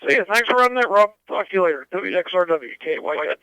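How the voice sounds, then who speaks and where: A man giving a casual, halting check-in over amateur radio. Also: high-pitched, very animated, bright, forceful tone, clean tone, dry recording. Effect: amateur radio transmission. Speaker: ham radio net participant. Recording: dull amateur radio audio.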